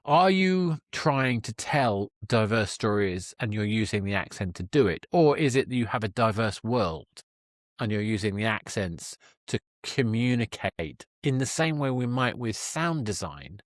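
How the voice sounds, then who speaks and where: Low-voiced British man hosting an interview. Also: expressive, unhurried, dry recording, clean studio sound. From Britain. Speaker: podcast host. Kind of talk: conversational interview.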